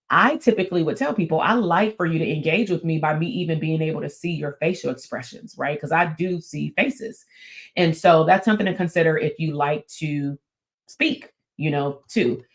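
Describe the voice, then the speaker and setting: A woman with a raspy, strong voice, talking casually to camera as a therapist and business coach, with frequent fillers and restarts. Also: low-pitched. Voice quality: raspy and strong, not soft. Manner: conversational, with many fillers and restarts. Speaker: therapist and business coach hosting her channel. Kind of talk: casual teaching talk to camera.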